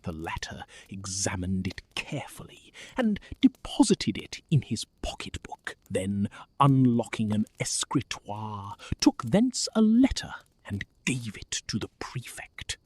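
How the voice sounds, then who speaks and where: An actor narrating an audio drama as the detective's astonished male friend, in a breathy, dramatic way. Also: fairly low pitch, wildly swooping pitch, unhurried, a little mellow, heavily acted. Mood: suspenseful.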